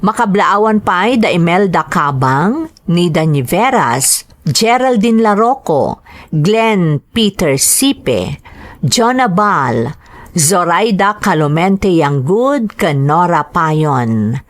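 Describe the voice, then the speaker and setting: A woman's voice: fairly low pitch, sing-song, takes it slowly. Ilocano woman reading as podcast host. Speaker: podcast host and story narrator. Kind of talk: reading greetings and a listener's letter.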